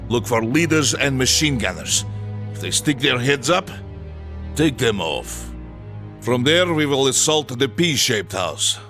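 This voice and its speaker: An actor playing a stern, rousing Soviet army commander, giving an emphatic battle briefing in a video game. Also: male voice, medium pitch. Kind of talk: dramatic military briefing, video game cutscene. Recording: music behind the voice. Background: loud music.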